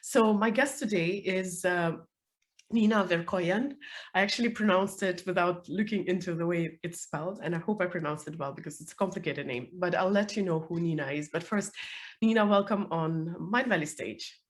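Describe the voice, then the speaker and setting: A woman with a hoarse, worn voice from being sick, hosting a live online interview over a video call, chatty with many fillers. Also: fairly low pitch, moderate pace. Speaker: host. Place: home, calling in.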